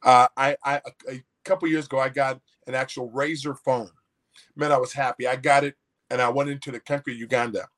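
A man's voice: medium pitch, flat delivery, a little fast, a bit bright. A man, an author guest, telling a personal story in a folksy, anecdotal way with many restarts. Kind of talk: storytelling in an interview.

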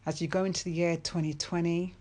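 A woman's voice: fairly low pitch, little pitch movement, brisk, darkish tone. A woman giving a faith-based motivational talk, speaking earnestly and emphatically and repeating key phrases for weight.

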